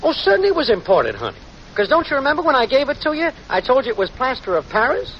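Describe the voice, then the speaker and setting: Lazy slangy 1940s schemer boyfriend, super animated pitch, noisy muffled old radio. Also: male voice, fast, full character voice. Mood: glib. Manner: slangy, glib, cajoling. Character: lazy, slick, slangy deadbeat boyfriend, a small-time schemer. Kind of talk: 1940s radio sitcom acting.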